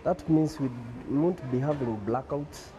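A young man from Kenya talks in a casual, plain-spoken way. His voice is very dark and mellow, and he is recorded indoors in a salon on a lapel mic. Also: fairly high pitch, normal speed, a little hiss or hum. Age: young adult. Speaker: hairdresser. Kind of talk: news interview.

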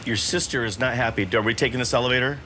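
A middle-aged man with an American accent chatting casually as he plays a video game, halting and repeating himself as he thinks. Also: mid-range voice, fairly even intonation, bright, forceful tone, a little hiss or hum. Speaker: host playing a video game with his son. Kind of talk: casual gameplay chat. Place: home gaming room, indoors.

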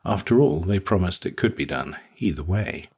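A man narrating a scripted short story for an audiobook, with a husky, rough-edged voice. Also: low voice, lively intonation, normal speed, a little mellow.

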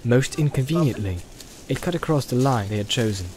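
Male fantasy-audiobook narrator, mid-pitched, even and unhurried. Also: a little hiss or hum. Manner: even, storytelling delivery. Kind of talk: scripted narration.

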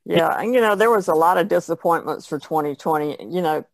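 A woman's voice: on the low side, fairly quick, no echo. A woman chatting casually as an artist on a podcast panel. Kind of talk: casual chat.

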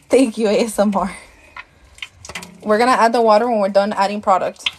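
A woman's voice: mid-range voice, fairly even intonation, measured pace, a little hiss or hum. A woman, chatting casually as a live-stream host, thanking viewers and slipping into Spanish, a bit tired and out of breath. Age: 30s.

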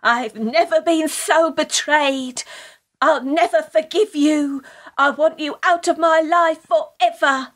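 A woman's voice: high-pitched, fairly even intonation, slow pace. A British woman playing an earnest, starstruck theatre fan in a radio play, prim and gushing. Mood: melodramatic.

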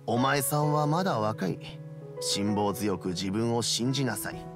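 A man's voice: medium pitch, lively intonation, a little slow, music in the background. An actor playing a patient, reflective old man in a game, with old-fashioned, admonishing phrasing. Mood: gently advising. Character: kindly old male elder, patient, reflective, gruff when sulking. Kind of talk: Japanese game voice acting.

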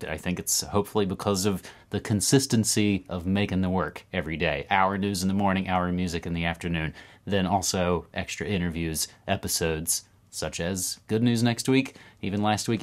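A man in his thirties hosting a casual news roundup to camera from a room at home, animated and talking with his hands. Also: low-pitched. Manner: animated, talks with his hands, upbeat asides. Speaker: podcast host. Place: home room with record shelves.

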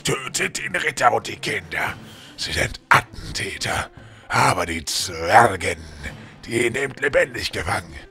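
A man playing a monstrous, cunning old castle lord, gravelly, menacing and mocking, in a fantasy audio drama. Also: medium pitch, pushed and bright, exaggerated voice.

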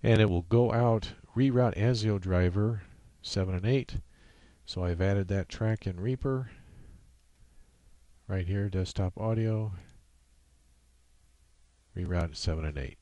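Deep, dark-voiced man giving a tutorial. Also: takes it slowly, pauses often, no room sound. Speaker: software tutorial presenter. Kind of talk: informal screencast tutorial.

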